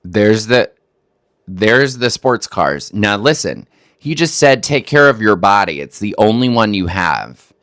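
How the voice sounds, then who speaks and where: A man's voice: lowish voice, very animated, unhurried, fairly bright tone, no echo, clean studio sound. A man from Texas hosting a reaction video, making wry, skeptical remarks that stumble over restarts. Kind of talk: casual commentary on a clip.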